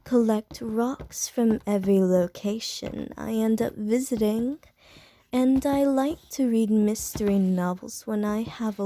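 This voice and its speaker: A woman voicing a flirtatious, predatory female vampire in an ASMR roleplay, speaking softly and teasingly and slipping into whispers. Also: fairly high pitch. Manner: slow, soft, teasing, drops into whispers.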